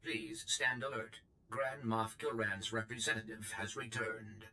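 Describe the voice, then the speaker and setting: An actor playing a formal, unflappable protocol droid in a video game, with a procedural, monotone delivery. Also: male voice, on the low side, unhurried, slight background noise, exaggerated voice. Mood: coolly formal.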